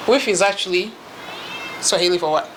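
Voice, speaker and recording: A woman's voice: on the low side, fairly quick, background noise. Bubbly woman hosting a cooking show. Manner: bubbly, chatty, laughs often. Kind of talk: casual cooking chat. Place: home kitchen.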